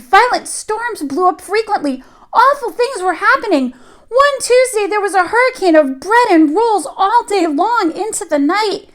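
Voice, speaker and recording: A woman reading aloud to young children in an expressive storytelling style, with dramatic emphasis. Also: very high-pitched. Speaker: children's story reader, teacher.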